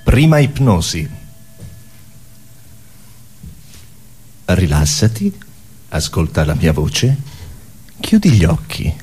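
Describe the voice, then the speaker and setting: An actor playing a bossy, absurd male hypnotist, husky and theatrical, coaxing and mocking in a comic stage play. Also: sits low, very animated, unhurried, a little mellow. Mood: coaxing, commanding. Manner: commanding, theatrical.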